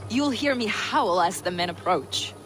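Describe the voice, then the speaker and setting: A woman's voice: medium pitch, expressive, a little slow, natural voice. Woman playing a fierce warrior woman over noisy ambience. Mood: grimly eager. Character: fierce, silver-tongued warrior woman, boldly confident. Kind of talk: video game acting.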